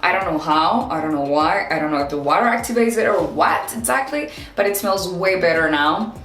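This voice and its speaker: Young woman, Dominican accent, Spanish native, slightly low voice; upbeat, chatty vlogger. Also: lively intonation, brisk, fairly bright tone, soft background music. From Dominican Republic. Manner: chatty and upbeat, laughs often, says "like" a lot. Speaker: hair care vlogger. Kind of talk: casual product review vlog. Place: home bathroom and shower.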